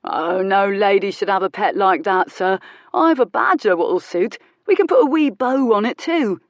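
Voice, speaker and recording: A jovial workman in big worn boots cracks jokes loudly, as a woman reading aloud puts him on. His voice sits high and bright above the narration. The reading is dry and close. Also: animated, moderate pace, no echo, lightly acted. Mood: joking. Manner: joking, boisterous.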